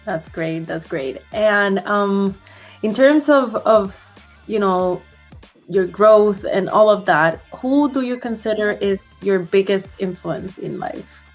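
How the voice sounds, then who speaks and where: A woman's voice: mid-range voice, slow pace, faint music behind. A woman hosting a casual interview, friendly and laughing, with many fillers. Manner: friendly, laughs between questions, uses fillers. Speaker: interview host.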